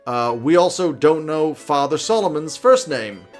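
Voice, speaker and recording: A man in his thirties with a fairly high voice talks casually to camera as a game advice host. He is animated and drily funny, explaining things conversationally, with light guitar music playing underneath. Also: unhurried. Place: small home office, indoors.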